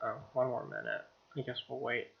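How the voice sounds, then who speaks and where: A man's voice: medium pitch, wildly swooping pitch, brisk, creaky, highs cut off, a little hiss or hum. A man hosting a game stream, chatting casually and reading narration aloud, with muttered offhand asides. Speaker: streamer, let's play host. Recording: music playing behind voice. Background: game music.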